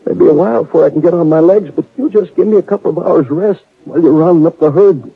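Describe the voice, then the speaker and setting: An actor playing a dying young cowboy who stays stubbornly hopeful, in 1950s radio drama style, with a raspy, strained voice on a tinny old radio broadcast. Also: male voice, fairly high pitch, quick pace, no echo. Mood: weak, stubborn.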